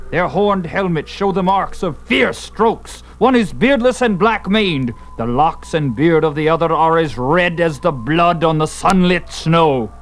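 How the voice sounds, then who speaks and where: A man narrating a scripted fantasy tale in a theatrical, intense manner with deliberate phrasing. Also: mid-range voice. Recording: music under the voice.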